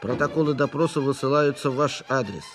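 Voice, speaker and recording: An actor in a radio play voicing a military messenger who reads out an urgent dispatch in an impersonal, official manner. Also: male voice, mid-range voice, a little fast, a bit bright, faint music behind, close to an everyday voice.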